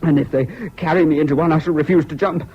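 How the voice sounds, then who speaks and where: A man's voice: a little high, steady tone, fast talker, mellow, natural voice. An actor playing a brittle, anguished English serviceman in a 1970s British radio drama. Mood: breaking down. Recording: dull, noisy old radio recording.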